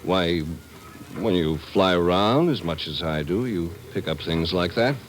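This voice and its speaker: An actor playing an earnest, heartfelt Israeli airline part-owner and former refugee in a 1950s radio drama. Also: male voice, low-pitched, expressive, unhurried. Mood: grave, worried. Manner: earnest, heartfelt, hesitant at times. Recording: vintage radio recording with background noise.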